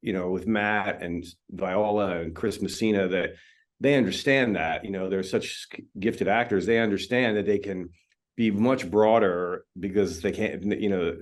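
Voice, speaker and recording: A man's voice: low-pitched, unhurried. A male film editor talking casually in an interview, full of fillers and restarts. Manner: relaxed, chatty, many fillers and restarts. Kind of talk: interview conversation.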